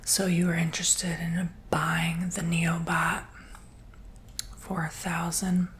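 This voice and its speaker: Slow whispering man acting as a robot salesman. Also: fairly high pitch, completely flat delivery, a little hiss or hum. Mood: soft, reassuring. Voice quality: breathy whisper. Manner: slow, gentle, reassuring sales patter. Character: soft-spoken, earnest male robot salesperson. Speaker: ASMR roleplay performer. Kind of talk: whispered ASMR roleplay.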